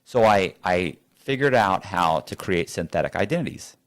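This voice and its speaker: A man's voice: sits low, animated, normal speed. A man being interviewed, telling stories in a rambling, blunt way and acting out both sides of conversations. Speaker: interview guest. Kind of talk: animated storytelling with acted-out dialogue. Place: studio.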